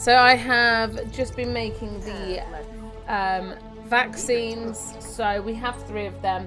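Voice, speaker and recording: A woman hosting a casual game walkthrough, chattily talking through each step and sharing tips. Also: medium pitch. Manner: chatty, narrates own actions step by step, reads pop-ups aloud. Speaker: gaming video host.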